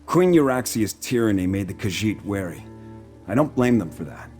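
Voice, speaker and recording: A man playing an earnest, weary, fair-minded military commander in a video game, speaking in a measured, formal way. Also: lowish voice, sing-song, a little mellow, soft background music. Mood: resolute, sober. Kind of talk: video game character acting.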